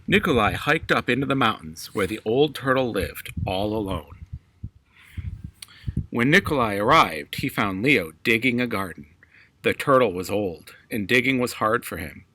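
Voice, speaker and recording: A man's voice: lowish voice, animated, normal speed, bright, forceful tone, breathy edge, dry recording, poor audio. A man reads a children's story aloud as a storyteller, in narrated prose.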